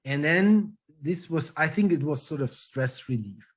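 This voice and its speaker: A middle-aged man with a thick German accent, a German native speaker telling his career story in an interview, acting out the dialogue with frequent ums and restarts. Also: a little high. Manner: lively, quick storyteller who acts out quoted dialogue, with frequent ums and restarts. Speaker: guest, trademark attorney.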